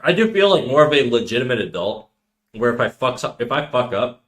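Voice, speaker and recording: Slangy, bright-voiced man streamer. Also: medium pitch, sing-song, brisk. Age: 30s. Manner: slangy, rambling, reacts to chat messages. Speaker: live streamer. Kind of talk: casual live-stream chat.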